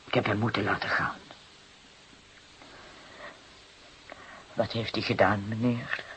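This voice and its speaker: A performer playing a weary, aggrieved working-class mother of seven in a Dutch radio drama, plaintive and hesitant, with a husky, breathy voice. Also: medium pitch, flat delivery, a little fast, fairly bright tone, slight background noise, lightly acted, rough recording. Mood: sorrowful.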